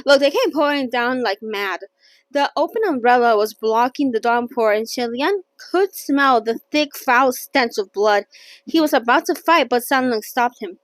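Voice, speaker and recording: A woman's voice: on the high side, animated, moderate pace, dry recording. A woman hosting a casual, chatty read-along of a novel, playful and often stumbling and correcting herself as she reads. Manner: playful, stumbles and self-corrects when reading. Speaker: host reading a novel aloud.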